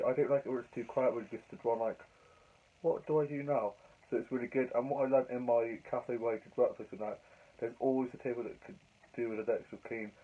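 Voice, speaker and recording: A young man with a croaky cold voice, rambling chattily through his diary vlog. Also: mid-range voice. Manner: chatty, rambling, fillers and restarts. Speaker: vlogger. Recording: tinny phone-like sound.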